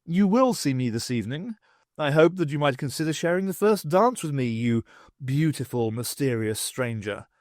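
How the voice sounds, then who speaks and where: A man's voice: fairly high pitch, big pitch swings, normal speed, a little mellow, no room sound, studio quality. Man doing a smug courtly fairy-tale prince. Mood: gallant. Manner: courtly, formal and self-assured.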